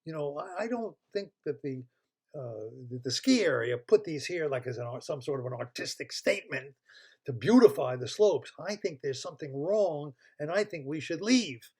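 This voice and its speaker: A man's voice: a little high, animated, moderate pace, slightly rough. A man voicing a worried woman skier who insists that something is wrong. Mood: uneasy. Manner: fretful, insistent.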